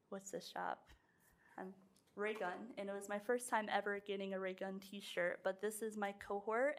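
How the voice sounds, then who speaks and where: A woman's voice: medium pitch, creaky. A woman teacher giving a presentation to a school board and answering questions, talking chattily with many fillers.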